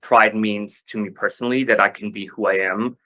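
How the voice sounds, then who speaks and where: Man, panel speaker, lowish voice, measured, hesitant, dull lo-fi stream audio. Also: fairly even intonation, pushed and bright. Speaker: marketing lead on a panel. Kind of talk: corporate panel discussion.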